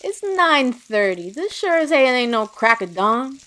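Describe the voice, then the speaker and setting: A woman's voice: a little high, very animated, unhurried, a bit bright. A woman playing a wry, foul-mouthed young woman in an audio drama, with a casual, dry, teasing delivery. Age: young adult.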